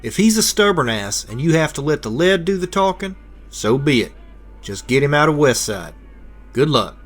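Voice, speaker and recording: An actor voicing a matter-of-fact bounty contractor in a video game, giving a measured, dryly blunt mission briefing. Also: male voice, fairly high pitch, very animated, soft background music. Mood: businesslike, dry.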